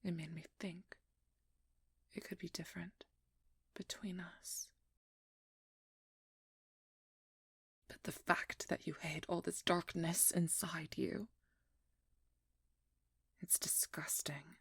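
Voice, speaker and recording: A woman acts out a determined female vampire hunter in an intimate audio roleplay. Her voice is very breathy and husky, often near a whisper, with a gravelly fry underneath. She speaks slowly and intensely, wounded but resolute. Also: fairly low pitch, fairly even intonation, fairly bright tone, dry recording, heavily acted. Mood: betrayed, sorrowful. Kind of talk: ASMR roleplay audio drama.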